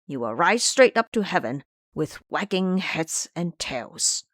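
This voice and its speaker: A woman's voice: lowish voice, big pitch swings, measured pace, no echo, clean studio sound. A woman voicing a foolish, gluttonous male pig disciple in an audio drama, blunt and complaining. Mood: grumbling.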